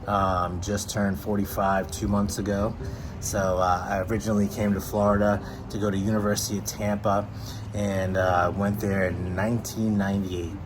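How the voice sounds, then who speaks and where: A man's voice: on the low side, level tone, moderate pace, background noise. A man, introducing himself in a rambling way with many fillers. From Massachusetts. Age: 40s. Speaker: real estate agent. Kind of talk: casual self-introduction. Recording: music playing clearly under the voice.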